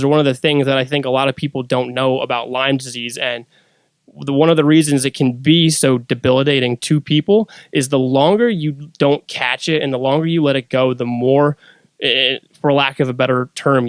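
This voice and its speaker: A man's voice: mid-range voice, fairly quick, smooth, dry recording. A man speaking as a podcast guest, casually telling a personal story.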